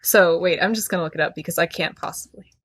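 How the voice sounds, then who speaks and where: Bright-voiced woman chatting on a podcast. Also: fairly low pitch, quick pace, slight background noise. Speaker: podcast co-host. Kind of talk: casual podcast chat.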